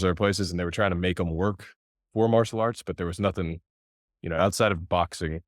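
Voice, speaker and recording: Very deep-voiced American man telling a story. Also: dry recording, clean studio sound. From United States. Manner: relaxed, conversational, with fillers. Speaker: company executive. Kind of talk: storytelling in an interview.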